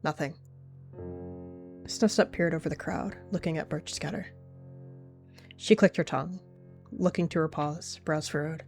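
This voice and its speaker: A woman with a lowish voice narrates a roleplay story, calm and measured. Faint music plays in the background. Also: creaky.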